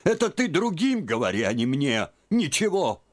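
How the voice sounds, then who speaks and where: A man voicing an excitable elderly aunt who frets and scolds in a raised voice. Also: normal speed. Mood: indignant. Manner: shrill, fretful, scolding. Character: fussy excitable old aunt, elderly woman.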